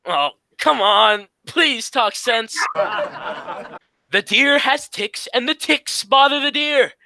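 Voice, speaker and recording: Guy doing an old radio comedy straight man, super high bright voice, fed up and scolding. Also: expressive, takes it slowly, creaky, full character voice. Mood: exasperated. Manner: scolding, insistent. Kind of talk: 1940s radio comedy acting.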